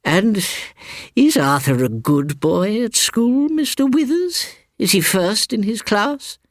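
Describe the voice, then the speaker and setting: A man's voice: high voice, expressive, slow, dry recording, studio quality, pitched above the performer's own voice, exaggerated voice. A man voicing an imperious, condescending elderly English aunt in a story reading, with trenchant, precise speech. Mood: baiting.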